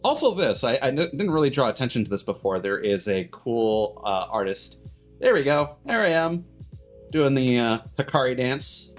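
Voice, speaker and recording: A man hosting a livestream in casual chat, rambling and haltingly backtracking mid-sentence. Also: mid-range voice, very animated. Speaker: streamer host. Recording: music playing behind the voice. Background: background music.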